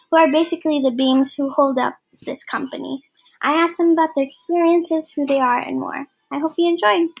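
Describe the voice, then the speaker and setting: A woman's voice: sits high, gravelly, low-quality recording. A woman hosting a podcast, upbeat and welcoming as she leads an interview. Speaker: podcast host.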